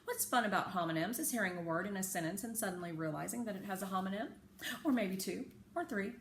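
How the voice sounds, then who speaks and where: A woman reading a children's story aloud in an expressive, careful, teacherly way with chatty asides. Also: medium pitch, quick pace. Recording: echoey room with faint background noise.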